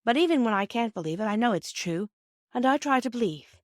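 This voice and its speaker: A woman voicing a gentle, patient British mother in a storybook, reasoning tenderly and in measured phrases. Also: on the high side, animated, dry recording, studio quality, pitched above the performer's own voice, close to an everyday voice. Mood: tender, wistful.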